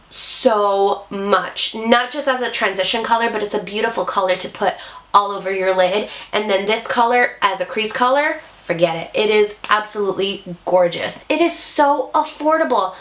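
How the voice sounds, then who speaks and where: A woman talks through her favorite makeup, hoarse and sniffly from a cold. She gushes in a chatty way and heaps praise on each product, her voice sitting a little high. The room around her has a noticeable echo. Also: a bit bright. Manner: chatty, enthusiastic, repeats praise words. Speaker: beauty vlogger. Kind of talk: casual product review vlog. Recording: echoey room.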